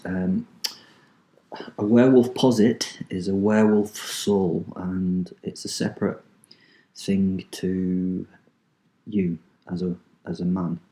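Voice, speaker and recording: A man's voice: sits low, mellow, low-quality recording. A man with a Manchester accent, hosting a podcast, rambling casually with swearing and lots of ums, then reading out a story he wrote. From England. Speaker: podcast host.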